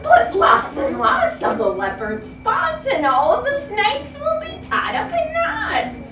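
A woman voicing an alarmed, indignant zebra who yells, in a storybook. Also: very high-pitched, huge pitch swings, slow, voice pushed up, full character voice. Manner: shouted, exclamatory. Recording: roomy, noisy classroom, distant mic.

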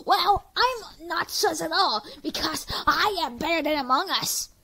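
A woman voices amateur animation lines in a very high, crackly voice. Her delivery is theatrical and drawn out, recorded close and dry. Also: lively intonation, measured pace, pushed and bright, dry recording.

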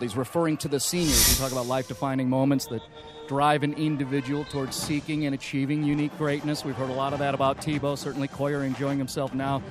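Brisk male sideline reporter. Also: on the high side. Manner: brisk, informative, conversational reports. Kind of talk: radio sports sideline reporting. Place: football stadium sidelines, outdoors. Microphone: handheld microphone with headset.

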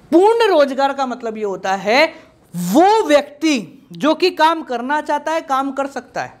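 Lively male teacher with a bouncy voice. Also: very high voice. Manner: lively and playful, repeats key terms, gestures while talking. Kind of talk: energetic exam-prep lecture, Hindi mixed with English. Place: studio in front of a projected slide screen.

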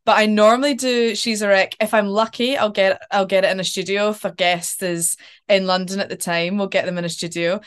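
A woman's voice: mid-range voice, brisk, clear, resonant tone. A young woman with a Glaswegian Scottish accent, an actor guest talking in a casual interview over a video call from her couch at home. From Scotland. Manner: chatty and animated, with many ums and likes. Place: home living room, sitting on a couch.

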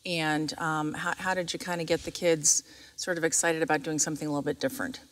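American woman, interviewer, slightly low voice, conversational, recorded with auditorium reverb. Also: little pitch movement, fast talker, fairly bright tone. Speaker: interviewer, school community relations coordinator. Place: seated on the stage of a theatre auditorium.

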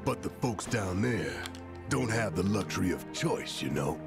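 An actor playing a gruff, burly male rebel leader in a video game, talking in an earnest, folksy, preachy way. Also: low-pitched, expressive, unhurried, heavily acted. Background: game music.

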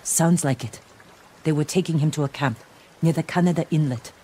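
A woman's voice: low voice, normal speed, warm tone, slight background noise, natural voice. An actress playing a tough, pragmatic female survivor in a video game, blunt and intense but restrained. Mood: tense focus. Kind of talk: dramatic video game acting.

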